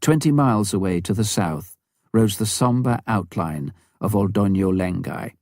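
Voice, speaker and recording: A man reading a missionary story as an audiobook narrator, with a measured storytelling delivery. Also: fairly low pitch, darkish tone, no echo, clean studio sound.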